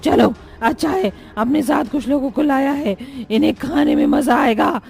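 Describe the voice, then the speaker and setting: A woman voicing a bloodthirsty female witch ghost in an animated horror story, with a taunting, menacing delivery. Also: high-pitched, normal speed, vocal fry.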